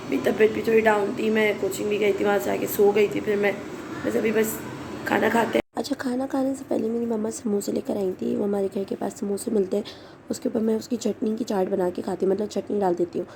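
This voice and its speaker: A young woman from Karachi, Pakistan, with a hoarse, sore throat, chatting casually and rambling in a home vlog. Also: mid-range voice. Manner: rambling, chatty, mixes in English. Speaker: vlogger and schoolteacher. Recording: noisy background hum.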